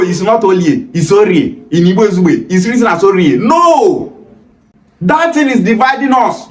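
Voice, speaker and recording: A young Nigerian man with a Nigerian accent, talking straight to the camera with emphatic, passionate delivery and sharp rises when he pushes back. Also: high-pitched, reverberant, rough recording. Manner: emphatic, gestures freely, rises sharply on rebuttals. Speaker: video host. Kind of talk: passionate direct-to-camera talk. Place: small indoor room against a plain wall.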